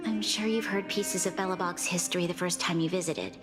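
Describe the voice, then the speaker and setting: A woman's voice: on the low side, fairly even intonation, brisk, lightly acted. A woman voicing a dignified, burdened young ruler in a video game, formal, grave and sincere. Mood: grave, troubled. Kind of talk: scripted video game dialogue. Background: game music.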